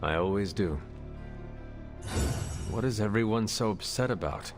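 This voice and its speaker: A fearless, stoic hunter in a video game. His voice is low and his wording formal and measured, with a long reverb around it and soft music behind. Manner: formal, measured, avoids contractions. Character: stoic, formal male demon-hunting warrior, fearless and courteous. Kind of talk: video game cutscene acting.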